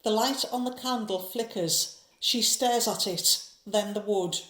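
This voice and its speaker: Echoey woman narrator, medium pitch. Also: a little slow, fairly bright tone. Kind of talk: scripted narration of a horror audio drama.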